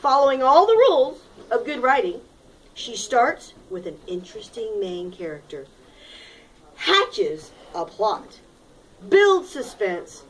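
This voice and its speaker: Animated high-voiced woman teacher reading aloud. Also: slow pace, a little hiss or hum, poor audio. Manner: didactic, dramatic emphasis. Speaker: elementary school reading teacher. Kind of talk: expressive storybook read-aloud with questions to pupils.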